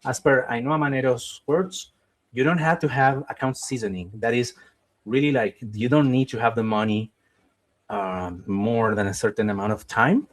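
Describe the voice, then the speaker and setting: A man's voice: medium pitch, normal speed. Man explaining. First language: Spanish. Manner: explanatory, keeps checking in with "okay?"; cautious, qualifies advice with "in my opinion". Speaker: visa webinar host. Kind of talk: live webinar Q&A.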